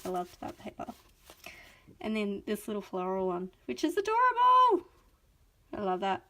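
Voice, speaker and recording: A woman's voice: medium pitch, huge pitch swings, normal speed, bright, forceful tone. A young adult Australian woman hosting a chatty live craft unboxing, gushing and enthusiastic, a little sniffly from a cold. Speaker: craft video host.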